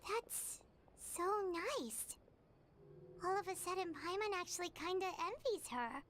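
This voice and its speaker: Very high, childlike fairy companion. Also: female voice, expressive, unhurried, a bit bright, a little hiss or hum, heavily acted. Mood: wistful. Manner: refers to self in third person, squeaky. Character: small chirpy childlike female fairy companion, earnest and curious. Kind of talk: anime game voice acting. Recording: background music under the voice.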